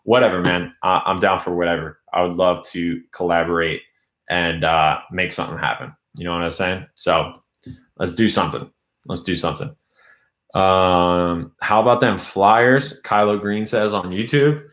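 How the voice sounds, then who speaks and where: A man's voice: low voice, fairly even intonation, moderate pace, fairly bright tone, roomy sound. A young man chatting loosely on a live stream, reading out viewer comments and saying his voice is going. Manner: loose, rambling, reads chat aloud. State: losing his voice. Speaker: YouTube host. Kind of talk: casual live-stream chat.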